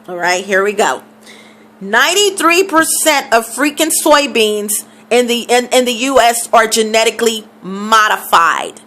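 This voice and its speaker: A woman's voice: on the high side, a bit bright. A woman vlogger talking casually to camera, emphatic and repeating figures for stress, with a scratchy throat.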